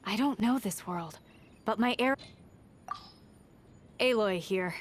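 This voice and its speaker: Casting: actress as resolute young huntress for a video game, plain-spoken and self-contained, mid-range voice. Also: female voice, mid-range voice, slow, soft background music, natural voice. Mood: matter-of-fact. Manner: plain-spoken, understated, determined. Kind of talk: video game character voice lines.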